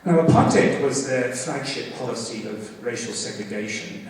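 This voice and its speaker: A man hosting a university talk, giving background with many fillers and restarts, with a loud voice. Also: mid-range voice. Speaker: host introducing a guest lecture. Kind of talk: academic lecture. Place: large hall. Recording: echoey hall from far off.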